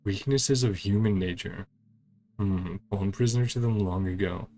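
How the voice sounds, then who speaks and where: A man's voice: sits low, normal speed, mellow. A man doing casual playthrough commentary on a video game, speaking in clipped fragments and murmured reactions. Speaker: gaming channel host.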